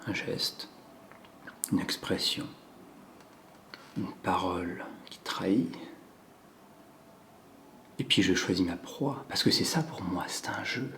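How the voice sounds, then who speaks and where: A man performing a theatrical monologue as a cold, controlling psychopathic killer who savors his confession in a hushed, deliberate way. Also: low voice, creaky, slight background noise, heavily acted. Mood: menacing, controlled. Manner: slow, hushed, deliberate delivery with drawn-out pauses. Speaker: acting student performing a monologue.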